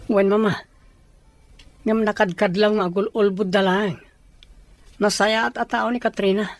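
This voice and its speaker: A woman's voice: medium pitch, fairly even intonation, moderate pace, lightly acted. A woman playing a troubled, resistant young village man in love in an audio drama. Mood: worried. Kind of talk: Ilocano audio drama acting.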